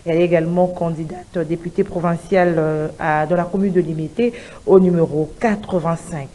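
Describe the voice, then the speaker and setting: A woman's voice: on the low side, fairly even intonation, brisk, warm tone. A middle-aged woman with a Congolese French accent, hosting a political television interview in a studio. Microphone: gooseneck desk microphone.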